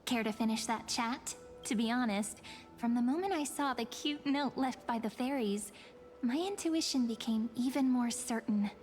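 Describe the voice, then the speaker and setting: A woman voicing a mysterious young fortune reader in a video game, speaking softly with a teasing, wistful manner. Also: a little high, normal speed, soft background music, natural voice. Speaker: game character.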